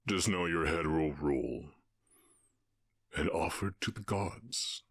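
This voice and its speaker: A man voicing a stern, imposing orc warchief in a fantasy audio roleplay, speaking in deliberate, imperious proclamations. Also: sits low, fairly bright tone, husky, no echo, studio quality, heavily acted. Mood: challenging.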